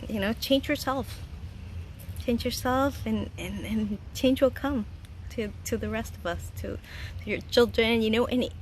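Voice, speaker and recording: A woman from Chile in her thirties talks through casual life advice from her home garden. Her voice sits a little high, and she is earnest and chatty, laughing easily. A faint background hiss runs under the recording. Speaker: vlogger.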